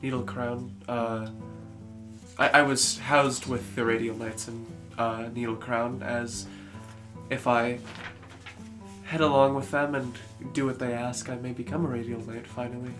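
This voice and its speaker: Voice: mid-range voice, fairly even intonation, measured pace. A player speaking in character during a tabletop game, hesitant and polite. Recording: background music.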